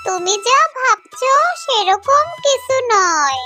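A woman's voice: very high voice, very animated, takes it slowly, sharp tone, full character voice. A woman voicing a sweet, eager young fox girl in a children's cartoon, earnest and childlike. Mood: earnest, cheerful. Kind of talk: Bengali children's cartoon dialogue. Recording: music clearly behind the voice.

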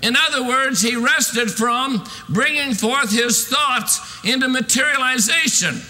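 A man in his sixties preaching a fervent sermon in an emphatic, declamatory way with drawn-out stressed phrases. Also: very high-pitched, bright, forceful tone. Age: 60s. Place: large church sanctuary, at a pulpit. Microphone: podium microphone. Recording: reverberant hall sound.

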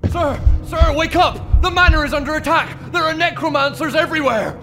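A man's voice: very high-pitched, a little fast, over music. An actor playing a panicked male servant urgently raising the alarm in a fantasy video game.

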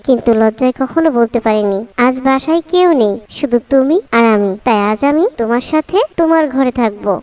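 A woman's voice: sits high, darkish tone. A woman playing a bold, lovestruck young woman in a cartoon melodrama, coaxing and pleading. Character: lovestruck, bold young woman pursuing her male cousin.

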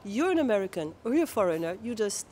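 A woman's voice: mid-range voice, sing-song, mellow, a little hiss or hum. A woman with a French accent and French as her first language, chatting casually as a guest on a hotel terrace at night, restarting her sentences often. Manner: halting, restarts mid-sentence. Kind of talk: casual chat. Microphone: clip-on mic.

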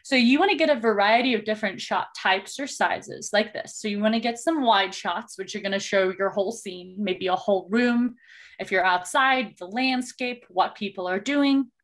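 A woman with a South African accent leads an online workshop over a video call, explaining shot types as her slides go by. Her pitch sits mid-range for a woman, and she talks in a chatty, explanatory way, with plenty of ums and quick asides.

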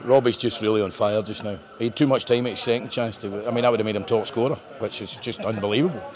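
Low-voiced Scottish manager in his fifties, upbeat and rambling, crowd audible behind. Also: steady tone, fast talker. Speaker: football manager. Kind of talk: post-match interview. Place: bare indoor room with painted brick walls.

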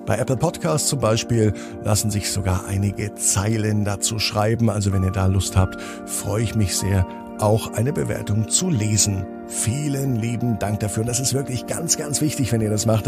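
A man hosting a children's bedtime story podcast, gently addressing young listeners with drawn-out emphasis. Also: on the low side, creaky.

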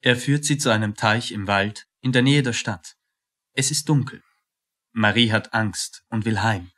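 A man's voice: fairly low pitch, unhurried, fairly bright tone. A man narrating a scripted radio play in a sober, matter-of-fact manner.

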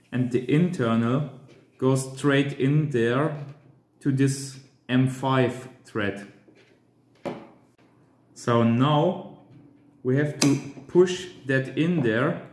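A man in his thirties presenting a hands-on DIY tutorial with halting, step-by-step instructions in simple non-native English. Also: medium pitch, darkish tone, a little hiss or hum. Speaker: amateur radio hobbyist presenter. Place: hard-walled room indoors at a table. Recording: roomy, echoing indoor sound.

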